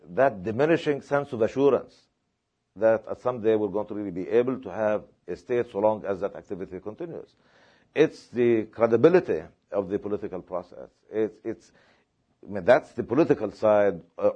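A man from Palestine answering questions at a panel discussion, speaking in a measured, halting way with many fillers and repeated words. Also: on the low side, a little mellow, husky, highs cut off.

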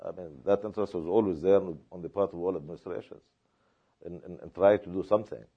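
A man from Palestine answering questions at a panel discussion, speaking in a measured, halting way with many fillers and repeated words. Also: sits low, steady tone, slightly rough, dull audio.

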